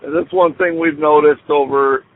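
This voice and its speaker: A man's voice: a little high, fairly even intonation, brisk. A man chatting casually as a guest on a phone call, thinking aloud in a conversational way. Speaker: guest, hobby club racer. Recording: noisy, tinny phone line.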